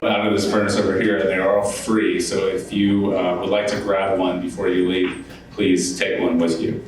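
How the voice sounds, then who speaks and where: A man's voice: deep voice, level tone, moderate pace, a crowd in the background. Distant, echoey male event host. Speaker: event host introducing speakers. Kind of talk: public announcement at an event. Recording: very echoey, distant mic, faint noise.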